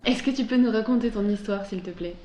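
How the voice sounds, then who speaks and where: A woman's voice: on the high side, quick pace, highs cut off, music in the background. A woman hosting a podcast, speaking casually and conversationally as she interviews a guest. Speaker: podcast host interviewing a craftsman. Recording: roomy, echoing space.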